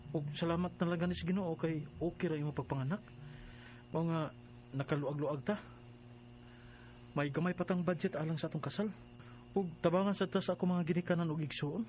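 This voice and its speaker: A man's voice: a little high, fairly even intonation, brisk, pauses often, darkish tone, a little hiss or hum, close to an everyday voice. A man acting an earnest family man in a radio drama, explaining things with emphasis. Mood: reassuring.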